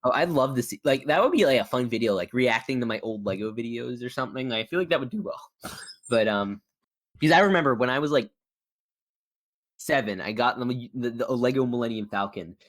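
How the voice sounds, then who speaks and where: A man's voice: mid-range voice, lively intonation, fairly quick. Rambling, stammering male podcast host. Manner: rambling, stammering, stop-start delivery. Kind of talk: casual chat interview.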